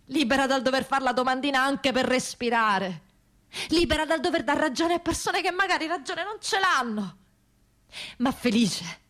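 Actress as high-voiced, proud freed woman prisoner. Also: expressive, moderate pace, a bit bright. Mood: elated, emphatic. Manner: emphatic, insistent listing. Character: freed female ex-prisoner, proud, relieved, determined. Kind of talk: theatrical first-person monologue.

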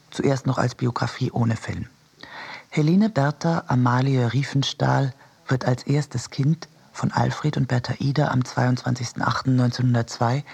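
Husky, creaky male radio narrator. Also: medium pitch, darkish tone. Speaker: reader of a literary essay. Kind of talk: scripted narration in a radio production.

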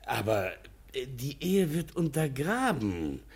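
Flustered moralist, classic German radio drama. Rough husky tone, animated melody, obsequious repetitions and stammers. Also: male voice, medium pitch, takes it slowly, exaggerated voice. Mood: anxious, protesting. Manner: stammering, repeating words, nervously obsequious. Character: flustered, prim, anxious male morality-society member. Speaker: actor.

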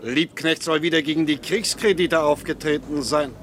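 An actor playing a smug old newspaper journalist in a German radio drama, pointed and inquisitive. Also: male voice, a little high, steady tone, moderate pace, background noise, natural voice. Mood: gleeful. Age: older.

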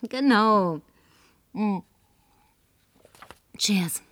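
An actress in a German radio play playing a flirtatious, tipsy, insistent career woman with coquettish, languid phrasing and breathy murmurs. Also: medium pitch, very slow, fairly bright tone, no echo. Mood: confiding.

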